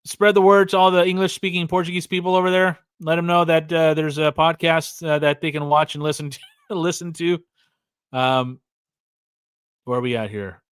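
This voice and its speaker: A man's voice: fairly high pitch, steady tone, moderate pace, fairly bright tone, smooth, no room sound, studio quality. An American man in his forties hosting a live podcast stream, chatting casually, rambling with fillers and restarts while reading out viewer comments. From California, USA. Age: 40s. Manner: rambling, lots of fillers and restarts, reads chat aloud. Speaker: podcast host. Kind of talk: casual livestream chat.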